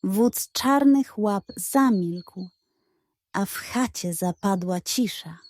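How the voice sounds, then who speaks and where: Unhurried, mellow woman storyteller. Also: medium pitch, expressive, no room sound. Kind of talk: scripted narration of a children's bedtime story.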